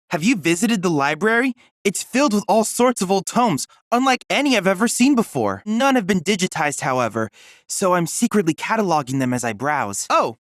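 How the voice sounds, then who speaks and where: Lively, studious boyish android assistant. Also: male voice, high voice, no room sound, studio quality. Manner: formal, precise, inquisitive. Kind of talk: scripted video game character dialogue.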